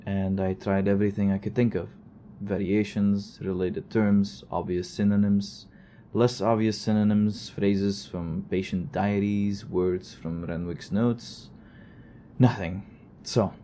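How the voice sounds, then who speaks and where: Over steady background noise comes a male investigator in an audio drama, low and soft-edged. He rambles through his recorded log with weary, dry sarcasm. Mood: frustrated.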